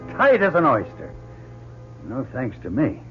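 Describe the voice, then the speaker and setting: Earnest astronaut commander, swooping intonation. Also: male voice, mid-range voice, huge pitch swings, a little slow, faint music behind. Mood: troubled. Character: earnest male astronaut commander, self-doubting but responsible. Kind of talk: old-time radio drama acting. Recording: dull noisy old radio recording.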